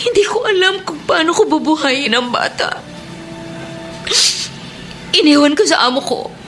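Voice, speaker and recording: High-voiced, wounded Filipino mother. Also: expressive, measured pace, a bit bright, faint music behind. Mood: pained. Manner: emotional, reproachful. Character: wounded, bitter woman, abandoned mother seeking her child. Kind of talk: Filipino radio drama acting.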